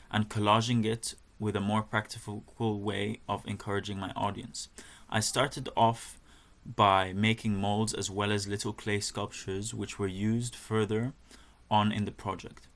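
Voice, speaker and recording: A young man, a fine art student, reading through a presentation of his work in a measured way with some fillers and restarts. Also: lowish voice, a bit bright.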